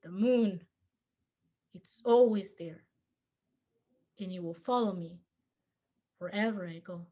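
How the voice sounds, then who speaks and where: A teenage girl, a native Spanish speaker with a heavy Spanish accent, giving a measured, earnest memorized competition speech. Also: fairly low pitch, dark tone, muffled recording. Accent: Spanish-accented English. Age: teens. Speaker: student speaker.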